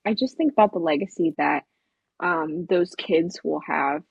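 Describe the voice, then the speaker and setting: A woman's voice: deep voice, normal speed, creaky, darkish tone, breathy edge, dry recording, highs cut off. A young woman on a discussion panel, talking casually in an earnest, reflective way. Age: young adult. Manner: earnest, reflective, frequent "like" and "just". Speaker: talk show panelist.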